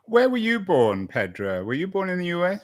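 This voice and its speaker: A man's voice: high-pitched, wildly swooping pitch, fairly quick, no room sound. Male host, rambly probing questions, dry close sound. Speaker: podcast host and interviewer. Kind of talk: interview questions.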